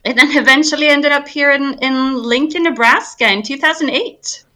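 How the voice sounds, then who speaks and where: A woman in her forties with a bright, clear-edged voice talks through her career as an interview guest. She tells stories with frequent laughter and pokes fun at herself. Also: high-pitched, moderate pace. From Sweden. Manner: laughs often, animated and self-deprecating storytelling. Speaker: guest, arts nonprofit director. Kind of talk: casual interview chat.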